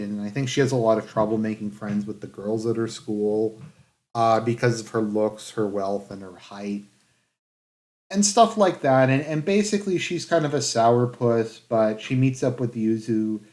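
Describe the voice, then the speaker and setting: A man giving casual review commentary in a halting, hedging way, with phrases that trail off. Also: lowish voice, darkish tone. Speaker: anime reviewer.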